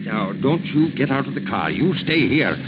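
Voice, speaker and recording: An actor playing a gruff, tough-talking police officer with slangy speech in an old radio drama. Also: male voice, mid-range voice, sing-song, moderate pace, lightly acted. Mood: businesslike. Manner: slangy, hard-boiled cop talk. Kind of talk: 1940s radio acting. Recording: noisy, dull 1940s radio recording.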